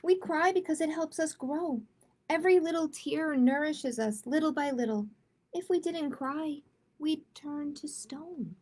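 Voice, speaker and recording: A woman's voice: sits high, measured pace, a little mellow. A woman hosting a children's storytime, reading picture books aloud and chatting expressively and directly with young listeners. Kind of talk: children's read-aloud with casual chat.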